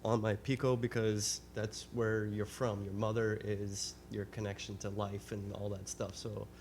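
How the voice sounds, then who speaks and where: A man's voice: on the low side, little pitch movement, unhurried, darkish tone, slight background noise. A man from Hawaii, a guest performer, chatting casually in a laid-back way with dry humor. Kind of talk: casual interview chat.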